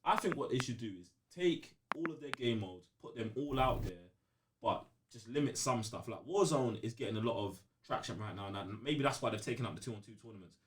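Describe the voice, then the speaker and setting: A man's voice: medium pitch, animated, brisk, slight background noise. A young man with a London accent chatting casually on a gaming podcast in slangy, emphatic, informal speech.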